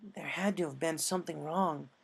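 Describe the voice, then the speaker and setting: A man voicing a timid, gentle, soft-spoken female pony in a story reading. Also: fairly high pitch, normal speed, gravelly, husky, pitched above the performer's own voice. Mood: gentle worry.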